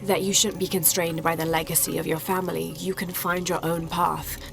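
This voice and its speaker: An actress playing a thoughtful young woman adventurer in a video game, measured and sincere. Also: lowish voice, flat delivery, gravelly. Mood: earnest, calm. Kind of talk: video game cutscene acting. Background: music.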